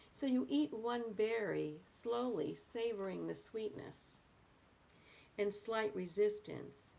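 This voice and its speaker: Female book reader for grownups: creaky, slow delivery, expressive and emphatic. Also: medium pitch, poor audio. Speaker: reader of a book aloud for adults.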